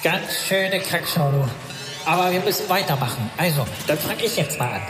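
A man's voice: a little high, expressive, moderate pace, close to an everyday voice. A man playing a fretful, bossy adventurer sidekick in a comic audio play, urging and exclaiming. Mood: flustered. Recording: echoey hall reverb, loud music underneath.

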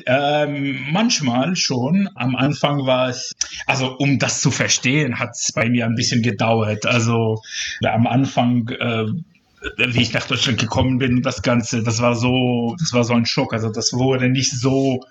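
A man's voice: medium pitch, steady tone, unhurried. Man talking casually, slightly dull sound. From Israel. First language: Hebrew. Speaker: interview guest, journalist. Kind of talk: casual personal conversation.

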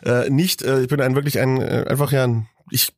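A man's voice: mid-range voice, level tone, fairly quick, a little mellow. A man co-hosting a podcast in casual chat, joking playfully with lots of fillers, a little under the weather with a cold. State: slightly ill with a cold. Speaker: podcast co-host.

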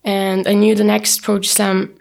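A young woman from Turkey, raised in Austria, talks about her writing as a podcast guest. Her voice sits at an ordinary middle pitch, and she chats loosely, laughing often and acting out what she said to herself. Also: completely flat delivery, normal speed, gravelly, darkish tone. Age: young adult. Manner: chatty, laughs often, restarts and quotes herself. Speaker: guest, poetry slammer. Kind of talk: casual interview chat.

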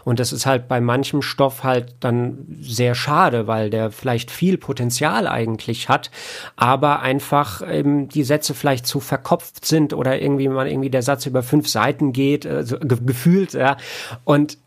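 A man's voice: mid-range voice, fairly even intonation, moderate pace, vocal fry. A man speaking as a guest in a casual interview, explaining things in a rambling, conversational way with many filler phrases. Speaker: interview guest, audio drama producer and author.

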